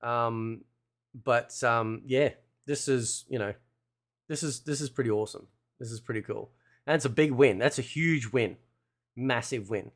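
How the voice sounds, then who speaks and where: A man with a mid-pitched voice delivers casual gaming commentary as a channel host. He talks in a loose, rambling way, working out his thoughts aloud. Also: a little slow. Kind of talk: casual game trailer commentary.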